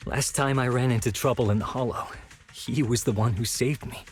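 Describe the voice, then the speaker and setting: A man's voice: medium pitch, fairly even intonation, brisk, soft background music, lightly acted. A man voicing a troubled, unassuming young man in a video game, with a hesitant and sincere manner. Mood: confused, uneasy.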